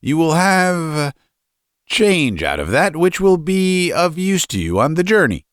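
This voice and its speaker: A man voicing a short, fat, miserly tyrant of a father, domineering and theatrical, with a shrill, unnatural shout, in a storybook reading. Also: sing-song, measured pace, clear, resonant tone, no room sound, clean studio sound. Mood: grudging.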